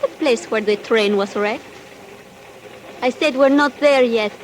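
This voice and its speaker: A fiery young Mexican woman, as an actress plays her, snapping in a fairly high voice with a Mexican Spanish accent. Her English is broken but sharp, and she speaks with scorn and defiance. Faint hiss from the old broadcast lies beneath. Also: unhurried, lightly acted, poor audio. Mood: defiant. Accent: Mexican Spanish (acted). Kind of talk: 1940s radio drama acting.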